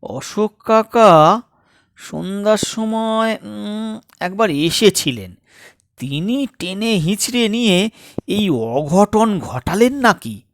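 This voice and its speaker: A man narrating a scripted comic story in a dramatic, theatrical way with suspenseful pauses. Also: sits high, expressive, fairly bright tone, no echo, studio quality. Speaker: storyteller and channel host.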